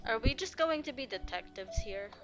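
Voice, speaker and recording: A woman giving casual, excitable and gushing commentary while playing a story game. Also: on the high side, moderate pace, dull audio. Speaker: gamer reacting to a story quest. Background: game music.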